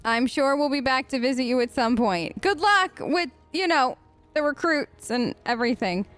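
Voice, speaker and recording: A woman's voice: sits high, lively intonation, moderate pace, fairly bright tone, dry recording. A chatty woman doing role-play let's play commentary, answering game characters as if she were one of them. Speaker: gaming host, player.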